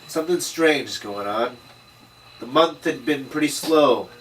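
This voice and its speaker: A man's voice: medium pitch, unhurried, a bit bright, close to an everyday voice. A man playing a world-weary, middle-aged 1930s detective, narrating wryly and slangily at a table read. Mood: brooding, wry. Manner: wry, slangy. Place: room at a script table read. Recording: distant mic, faint background noise.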